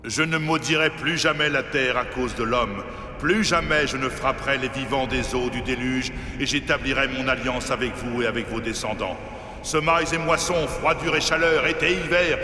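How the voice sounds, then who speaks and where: A vast reverberant hall surrounds this solemn divine voice, acted by a man. He declaims with commanding authority at a medium pitch for a children's Bible cartoon, over clear background noise. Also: lightly acted.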